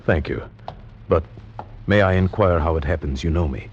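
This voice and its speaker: An actor playing a grave, dread-filled gentleman, narrating solemnly and deliberately in theatrical old-time radio horror style. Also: sits low, slight background noise, natural voice. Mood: polite, puzzled. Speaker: narrator and visiting friend. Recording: muffled vintage radio recording with music. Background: music.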